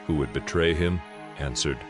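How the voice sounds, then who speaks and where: A man with a very low voice narrates dramatized scripture. He is measured and formal, with music clearly playing beneath him.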